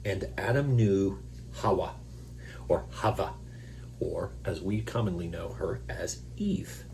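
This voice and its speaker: A man hosting a scripture reading, stopping for casual, self-deprecating asides and clearing his throat through his allergies. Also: sits low, expressive, takes it slowly, darkish tone. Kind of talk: Bible reading with informal commentary. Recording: noisy recording with electrical hum.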